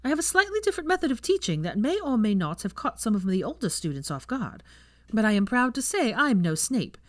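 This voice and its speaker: A woman's voice: fairly high pitch, sing-song, a little fast, dry recording, pitched above the performer's own voice. A woman voicing a blunt, cheerful young witch teacher in a story reading, breezy and prone to casual swearing. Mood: chatty, upbeat.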